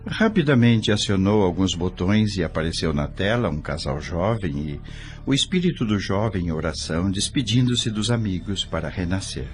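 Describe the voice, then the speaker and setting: Male actor as awed, curious newcomer spirit narrating an audio novel, low register, animated delivery. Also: normal speed, soft background music. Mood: awed, moved. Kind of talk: dramatized scripted narration.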